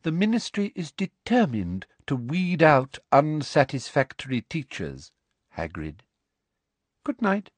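Officious woman inspector, played by male reader: high-pitched, prim, sugary-cold, pompous, for storybook. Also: huge pitch swings, a little slow, no room sound, full character voice. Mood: smugly menacing. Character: coldly officious, falsely sweet woman school inspector.

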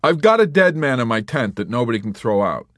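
A man voicing a sarcastic young soldier in a hospital bed who needles everyone with loud, mocking questions. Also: mid-range voice, very animated, a little fast, no room sound, studio quality, pitched above the performer's own voice. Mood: needling.

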